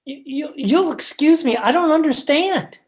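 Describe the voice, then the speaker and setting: A woman's voice: high voice, animated, measured pace. A woman playing a timid, henpecked husband who stammers and hesitates, in old time radio horror style. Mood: nervous, pleading. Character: timid, henpecked, frightened husband, a meek little man. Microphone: video call.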